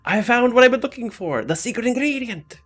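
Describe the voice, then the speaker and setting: A man voicing an excitable male restaurant worker who shouts about a discovery. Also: very high voice, fairly quick, pitched above the performer's own voice, full character voice. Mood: excited. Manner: shouting, overjoyed.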